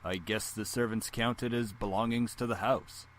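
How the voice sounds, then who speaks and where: Casting: male actor as stern middle-aged dad, low register, clipped formal speech, cold executive, visual novel. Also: steady tone, normal speed, lightly acted. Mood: stern, measuring. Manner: formal, curt. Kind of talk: visual novel character acting.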